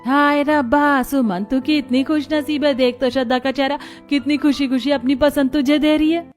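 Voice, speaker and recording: A woman playing a gushing, meddling, sharp-tongued aunt with a showy, taunting manner in a story drama. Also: high voice, steady tone, moderate pace, close to an everyday voice. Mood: slyly taunting. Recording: music behind the voice.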